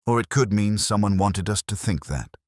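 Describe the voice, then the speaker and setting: A man voicing a shrewd, unassuming police inspector in a mystery story, speaking in measured, probing murmurs. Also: lowish voice, lively intonation, dry recording, studio quality, voice pushed up, close to an everyday voice. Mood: thoughtful.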